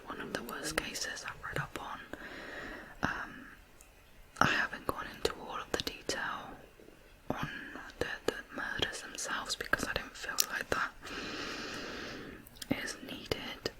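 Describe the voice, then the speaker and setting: A soft whisper with no voice in it, delivered very slowly. The host narrates true crime in ASMR style, reflective and a little hesitant as the thoughts come. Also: poor audio.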